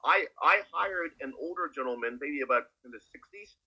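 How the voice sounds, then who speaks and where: A Canadian man chats casually in a highish, very bright and forceful voice. He is animated and often repeats words in bursts. The recording is lo-fi, with a dulled top end. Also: a little fast, breathy edge. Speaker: podcast guest co-commentator. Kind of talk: casual discussion.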